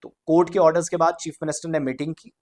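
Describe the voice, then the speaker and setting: Higher-voiced man presenting news commentary. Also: flat delivery, quick pace, a little mellow. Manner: explanatory, glosses formal Urdu terms in English. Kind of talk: news commentary addressed to viewers.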